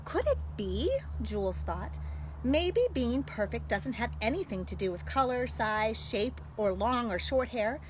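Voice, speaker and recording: An American woman, a school librarian, reading a picture book aloud to young children in an expressive way. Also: fairly high pitch, unhurried. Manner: animated, expressive delivery aimed at young children. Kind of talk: read-aloud storytelling for children. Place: at home. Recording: noisy home video with background sounds.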